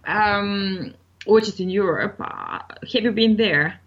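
Bulgarian-accented woman, halting speech. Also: mid-range voice, animated. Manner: halting, repeats words while searching for English phrasing. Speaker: singer, interview guest. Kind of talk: casual interview answers. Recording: dull lo-fi audio.

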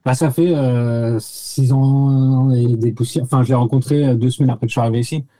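A man's voice: mid-range voice, fairly even intonation, a little fast, dark tone. A man chatting casually as a guest, telling anecdotes in slangy, informal language and often searching for words. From Nancy, France. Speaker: podcast guest, expatriate living in the United States.

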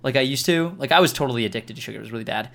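A man's voice: mid-range voice, rapid-fire, creaky, fairly bright tone. A man hosting a casual group question-and-answer chat, speaking in an emphatic, earnest way. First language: Farsi and English speaker. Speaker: host reading viewer questions. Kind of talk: casual group Q&A chat giving advice to beginner video makers.

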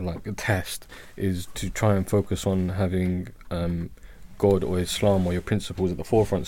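A man's voice: sits low, mellow. A man in his thirties co-hosting a radio discussion, thinking aloud and asking questions with some hesitation. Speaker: radio show co-host. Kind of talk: casual panel discussion.

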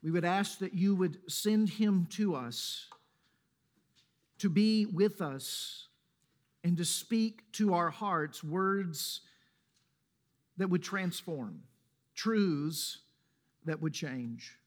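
A man with a Mississippi accent, a pastor leading a prayer and then preaching a sermon in a measured, earnest way. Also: high voice. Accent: Mississippi Southern. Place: church sanctuary.

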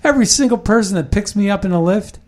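Man, podcast host, rambling casual talk, emphatic, fairly high-pitched. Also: animated, quick pace. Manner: rambling, emphatic, with frequent restarts.

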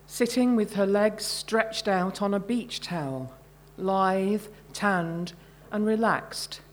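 Slow-paced woman reading her story. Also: medium pitch. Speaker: author reading from own short stories. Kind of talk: live literary reading.

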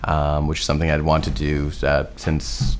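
A man in casual podcast chat, relaxed and halting with many fillers. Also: very deep voice, soft background music. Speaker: podcast guest host.

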